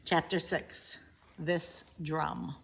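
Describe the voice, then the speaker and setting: A woman narrating a children's story expressively, with deliberate, dramatic emphasis. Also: low voice, steady tone, gravelly, noisy background. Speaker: story reader, teacher.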